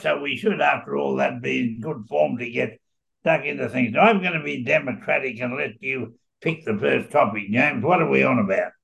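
A man's voice: mid-range voice, vocal fry, fairly bright tone, poor audio. An older man co-hosting a podcast, talking politics in an emphatic, rambling way with many restarts. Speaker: podcast co-host. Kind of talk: casual political chat.

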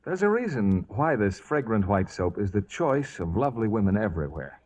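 An American man announcing on 1940s radio, with a smooth salesmanlike delivery. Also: lowish voice, big pitch swings, moderate pace, a little mellow.

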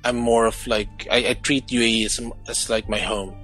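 A young man from the Philippines, a musician guest, chatting casually and filling every pause with "like" and "you know". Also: fairly low pitch, fairly even intonation, a bit bright, faint music behind. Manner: rambling, heavy on "like" and "you know". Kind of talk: casual chat.

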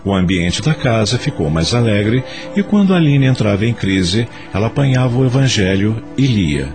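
A man with a Brazilian accent narrating a scripted radio drama as an expressive storyteller. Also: on the low side, moderate pace, soft background music.

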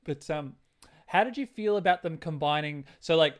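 A man co-hosting a podcast, chatting casually and excitedly like an enthusiastic fan about films and games. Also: on the high side, normal speed. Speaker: podcast co-host.

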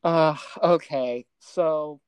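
A woman with a lowish voice talks films as a podcast co-host. Her delivery is casual and halting, with an exasperated critical edge. The sound is very dry, with no room to it. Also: a little mellow, clean tone, dry recording. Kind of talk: casual film discussion.

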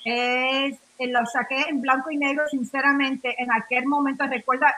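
Bright high-voiced Nuyorican woman. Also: fairly even intonation, moderate pace, a little hiss or hum, low-quality recording. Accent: Nuyorican, Spanish mixed with English words. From New York, of Puerto Rican family. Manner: rambling, many fillers and restarts, switches into English. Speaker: guest. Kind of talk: casual interview talk. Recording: radio interview.

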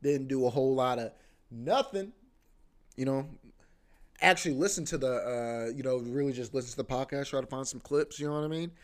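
A man's voice: medium pitch, a little fast. A young man hosting a solo podcast, rambling in a laid-back slangy way and saying "bro" and "you know what I mean" all the time. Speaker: podcast host; comedy show host.

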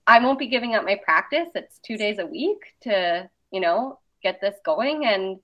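Chatty lady talking casually, animated storyteller, kinda dull lofi audio. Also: medium pitch, normal speed. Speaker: artist and interviewer. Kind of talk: casual conversation about creative work. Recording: dull lo-fi sound.

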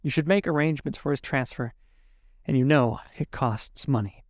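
A woman's voice: rumbling low, moderate pace, dry recording, studio quality. A woman with a Nigerian accent voicing an earnest, grave doctor, formal and urgent, in a story reading.

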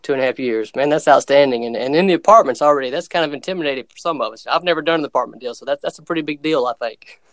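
A man's voice: medium pitch, rapid-fire, no echo. A man from Alabama hosting a podcast interview, enthusiastic and casual. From around Mobile, Alabama. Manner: enthusiastic, says "man" a lot. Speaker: podcast host.

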